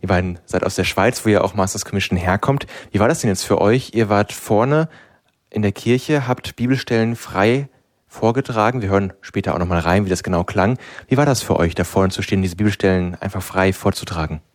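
A man presents a youth program on a religious radio station, speaking into a studio microphone. His voice sits on the low side and his delivery is clear and measured. The studio sound is dry, with no room echo. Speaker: radio host. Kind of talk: radio youth show hosting.

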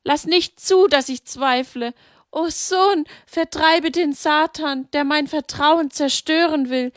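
A woman's voice: slow pace, no echo, studio quality. A woman voicing Mary, a grieving, devout mother who pleads in a raised, anguished voice. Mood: desperate. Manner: pleading, anguished, high-pitched.

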